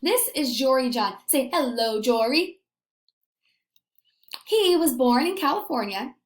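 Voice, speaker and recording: A woman teacher giving an expressive read-aloud lesson, asking young children questions and pausing for answers. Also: high voice. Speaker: elementary school teacher. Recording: echoey room.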